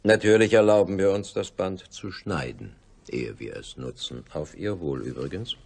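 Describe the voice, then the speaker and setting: An actor playing a smug, suave older managing director in a radio crime drama, speaking with polished condescension. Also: male voice, sits low, darkish tone, slightly rough. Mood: smug, controlling. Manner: condescending, polished, theatrically drawn-out phrasing.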